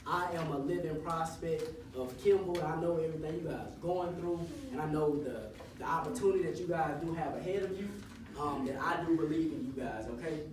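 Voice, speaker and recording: A man in his twenties giving a casual, encouraging motivational talk to high school students and leading them in chants. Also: a little fast. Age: 20s. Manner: casual, encouraging, leads call-and-response chants. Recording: echoey hall, voice from far off, audible room noise.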